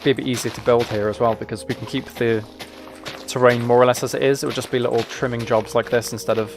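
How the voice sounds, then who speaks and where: A man with an average-pitched voice talks casually as he plays a game on stream. He rambles and thinks out loud, moving between chat and small observations. Music plays behind his voice. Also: level tone, fast. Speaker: livestreamer.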